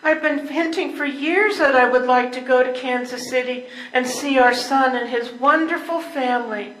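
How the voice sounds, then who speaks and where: High-voiced older woman acting a devoted wife, echoey. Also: moderate pace, a bit bright. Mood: gently reproachful. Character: devoted, long-suffering wife with gentle assertiveness. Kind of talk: readers theater stage play. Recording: echoey room stage recording.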